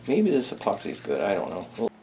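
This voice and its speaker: A man's voice: fairly low pitch, wildly swooping pitch, quick pace, vocal fry, dark tone, a little hiss or hum, rough recording. A man chatting casually and hesitantly as he thinks aloud during hands-on hobby work in a small home workshop. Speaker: hobbyist restorer, video host.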